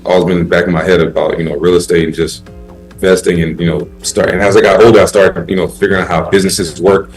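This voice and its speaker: A man in his thirties talks in a deep, low voice, loose and rambling, full of "you know" and "like." He answers questions as a basketball player guest on a live show. Soft music plays faintly under him. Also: quick pace, low-quality recording. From Haiti. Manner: relaxed, rambling, laid-back with many fillers. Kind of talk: casual interview chat.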